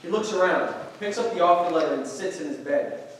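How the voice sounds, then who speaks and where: A man's voice: fairly high pitch, brisk. A man reading scripted stage directions as a narrator. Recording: echoey noisy hall, distant microphone.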